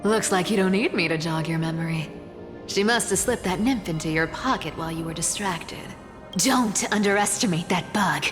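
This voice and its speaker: A woman's voice: lowish voice, normal speed, natural voice. An actress playing a sly, world-weary female trickster thief in a video game, guarded, proud and knowing. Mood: teasing, warning. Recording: long reverb under clear music.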